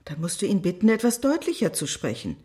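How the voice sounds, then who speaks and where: A woman's voice: mid-range voice, very animated, brisk, warm tone, natural voice. A woman playing a stern, scolding mother. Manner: stern, impatient, commanding. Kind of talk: radio drama acting.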